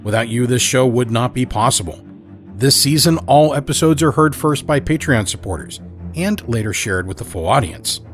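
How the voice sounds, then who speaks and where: A man delivering a scripted podcast introduction as host, in a measured, deliberate manner. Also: mid-range voice, expressive, faint music behind.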